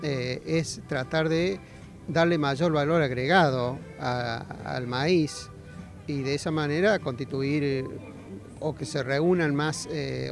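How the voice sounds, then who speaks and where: A middle-aged man with an Argentine accent answering interview questions outdoors into a handheld mic, with halting, hesitant phrasing. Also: mid-range voice, creaky. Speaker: agronomy faculty representative being interviewed. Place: outdoors at a busy fairground. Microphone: handheld interview microphone.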